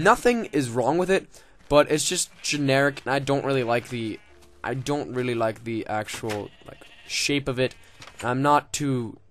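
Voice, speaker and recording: A young man casually commenting on his game, rambling and joking with lots of swearing and fillers. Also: medium pitch. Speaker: gaming video host.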